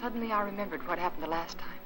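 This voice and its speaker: An actress playing an anxious, guarded housewife in a 1950s Hollywood film, speaking with tense, polite urgency. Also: female voice, medium pitch, very fast, close to an everyday voice. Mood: haunted, confiding. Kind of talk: 1950s Hollywood film acting with confessional voice-over. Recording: noisy vintage film soundtrack.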